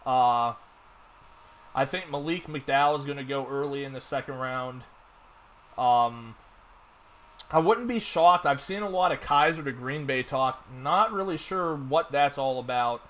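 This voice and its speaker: A man's voice: mid-range voice, a little slow, fairly bright tone. A man hosting a casual fantasy football podcast, rambling with lots of fillers and restarts. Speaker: podcast host. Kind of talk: casual sports podcast chat.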